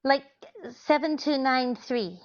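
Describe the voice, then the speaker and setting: A woman's voice: high voice, slow, highs cut off. An American woman playing a scatterbrained, sweetly optimistic wife in 1940s radio comedy style, earnest and innocent. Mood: cheerfully coaxing. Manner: earnest, innocent, daffy logic.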